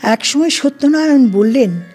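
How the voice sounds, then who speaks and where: A woman narrating a scripted literary story in a deliberate, formal storytelling manner. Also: high-pitched, expressive. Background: soft plucked-string music.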